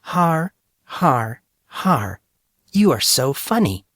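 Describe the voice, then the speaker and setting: Man putting on an indignant, higher-pitched young woman. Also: big pitch swings, drawn-out words, a bit bright, no echo, studio quality, heavily acted. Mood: sarcastic. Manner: indignant, sarcastic, challenging. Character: indignant, sharp-tongued young woman, proud and sarcastic.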